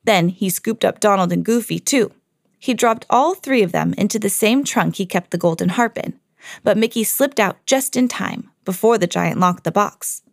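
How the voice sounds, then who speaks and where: A woman storyteller hosting a podcast, reading a children's fairy tale expressively with clear enunciation. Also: mid-range voice, normal speed, dry recording, clean studio sound.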